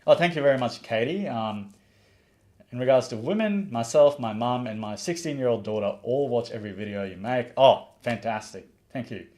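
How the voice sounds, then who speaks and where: A man from the Maldives hosts a livestream in a mid-pitched voice. He talks in an earnest, opinionated way, stops to read viewer comments aloud, and often restarts his sentences. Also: lively intonation, normal speed, a little mellow. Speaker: livestream host.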